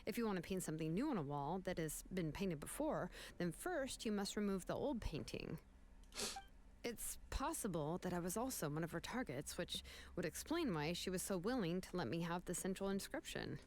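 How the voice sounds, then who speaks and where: Woman playing a calm, confident young game heroine. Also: lowish voice, normal speed, natural voice. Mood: composed, explanatory. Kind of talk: video game voice acting.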